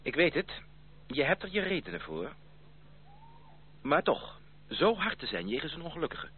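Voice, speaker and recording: An actor playing a courteous, ardent gentleman suitor in a Dutch radio drama, with a formal, gallant way of speaking. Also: a little high, sing-song, normal speed, breathy edge, heavily acted. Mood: concerned. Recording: tinny, noisy old radio play recording.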